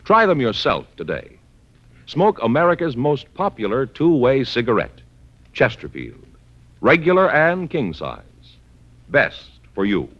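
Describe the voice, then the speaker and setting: A dull old radio recording of a male commercial announcer. His mid-pitched voice swings widely up and down in an emphatic 1950s sales pitch. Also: wildly swooping pitch, a little slow, fairly bright tone, a little hiss or hum. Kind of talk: 1950s radio advertisement read.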